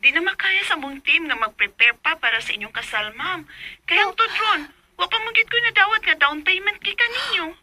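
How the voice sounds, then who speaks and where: A woman's voice: extremely high pitch, animated, brisk, bright, forceful tone, full character voice. A woman with a Filipino accent playing an apologetic wedding coordinator over a telephone in a radio drama. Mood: apologetic, evasive.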